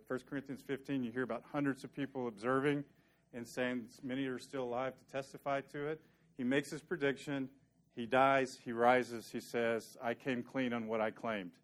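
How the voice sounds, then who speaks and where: A man's voice: mid-range voice, fairly even intonation, normal speed. A man teaching a sermon, earnest and conversational, walking through scripture and sometimes joking dryly with the congregation. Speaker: preacher.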